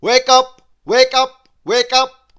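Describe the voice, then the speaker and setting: A woman voicing a brisk, bossy, busy storybook man who talks loudly and commandingly. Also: high voice, fairly even intonation, clear, resonant tone, voice pushed up. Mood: urgent shouting.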